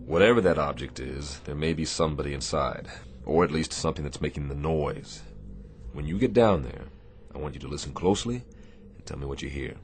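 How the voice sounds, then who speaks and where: A man playing a stern, weary Navy destroyer captain in a radio drama, clipped and deliberate in his orders. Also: very deep voice, slight background noise. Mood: firm, brisk.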